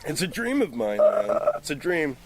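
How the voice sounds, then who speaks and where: A man's voice: on the high side, sing-song, moderate pace, poor audio. A man talking casually as a podcast guest outdoors, agreeable and slangy in an easygoing way. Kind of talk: casual chat. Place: outdoors on a porch.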